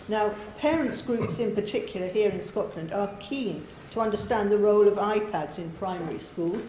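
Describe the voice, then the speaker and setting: A woman's voice: mid-range voice, darkish tone. A woman moderating a panel at a university event, reading out her questions. Her voice sits in the middle range. The hall is echoey, with a faint hum of the room behind her. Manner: reads questions aloud. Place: lecture hall.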